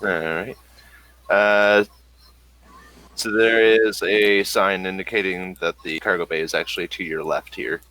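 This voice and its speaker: A man narrating a sci-fi tabletop session as game master, with a bright, forward tone set fairly low. He takes his time and describes each scene slowly while he works it out. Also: steady tone, low-quality recording.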